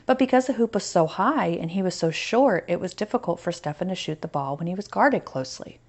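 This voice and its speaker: A woman with an American accent reads a children's story aloud as a teacher. Her voice sits slightly low, and she moves between narration and explanatory asides that stress key points. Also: animated, a little fast, darkish tone.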